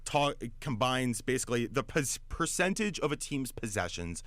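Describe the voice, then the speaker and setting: A man's voice: mid-range voice, sing-song, moderate pace, a bit bright, dry recording. A man hosting sports talk radio, giving opinionated analysis emphatically and often repeating words as he gets going. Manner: emphatic, stammering repetitions. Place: radio studio.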